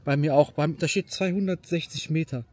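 A man's voice: a little high, brisk, darkish tone, breathy edge. A man chatting casually outdoors on a street during a gear test, talking into a headset.

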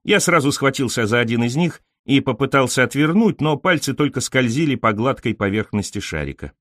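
Man narrating an audiobook, very lively intonation. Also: medium pitch, brisk, no room sound, clean studio sound. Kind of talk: scripted literary narration.

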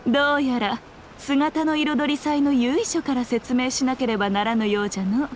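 A woman's voice: high voice, unhurried, slight background noise. A woman voicing a sly, self-assured fox shrine maiden in a Japanese anime game, speaking in a lordly, archaic, teasing manner.

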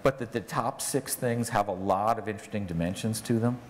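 Middle-aged guy talking on stage, lowish voice, hall reverb hanging on, keeps saying right?. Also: brisk. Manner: conversational, often tags lines with "right?". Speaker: conference speaker. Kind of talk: conference talk with audience Q&A. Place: large conference hall on stage. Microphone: lapel mic. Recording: long reverb of a large hall.

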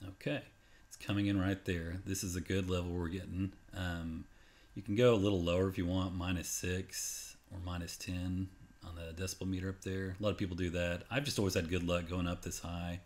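Male tutorial narrator, low voice, informal and unscripted, walks through steps plainly. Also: little pitch movement, fairly quick, darkish tone. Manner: informal, unscripted, step-by-step explaining. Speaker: tutorial presenter. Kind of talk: casual screen-recorded how-to narration. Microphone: voice-over on a screen capture.

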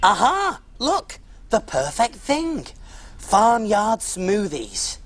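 A man's voice: high-pitched, huge pitch swings, drawn-out words, full character voice. An actor playing an enthusiastic grown-up male cook who speaks in eager exclamations for a children's TV show. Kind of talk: children's TV acting.

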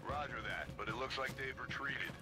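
A man's voice: medium pitch, fairly quick, close to an everyday voice. A man playing an authoritative military squad leader in a video game, giving clipped radio orders. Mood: commanding. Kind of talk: scripted game voice acting, military radio talk. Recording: loud game music and battle sounds.

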